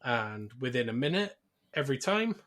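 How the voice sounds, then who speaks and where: A man co-hosting a podcast, talking through a film in a casual, conversational recap with quick acted asides. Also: medium pitch, very animated, a bit bright. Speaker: podcast co-host.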